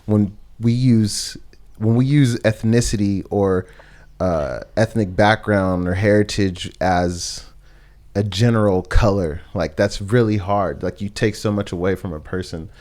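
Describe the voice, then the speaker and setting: A young man from the United States, speaking with a fairly low voice as a podcast guest. He muses in a relaxed, reflective way, circling back and restarting his sentences as he works through an idea. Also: unhurried. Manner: reflective, rambling with many fillers and restarts. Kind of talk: casual conversation.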